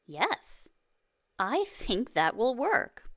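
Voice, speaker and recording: A woman's voice: fairly high pitch, wildly swooping pitch, very slow, a bit bright, voice pushed up, exaggerated voice. A woman voicing an amused, commanding prime minister and proud father in a storybook, with a stately manner. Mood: pleased. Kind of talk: storybook read-aloud.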